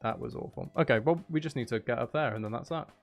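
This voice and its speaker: A man's voice: mid-range voice, fast, faint music behind. A man giving casual livestream commentary on a video game, talking to himself with mutters and exclamations. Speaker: game streamer.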